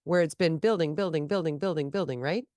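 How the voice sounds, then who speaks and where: A woman's voice: deep voice, quick pace, no echo. A woman co-hosting a casual political chat podcast, talking animatedly while she has a cold and sniffles. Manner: animated, jumps between topics, quoted asides. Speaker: podcast co-host.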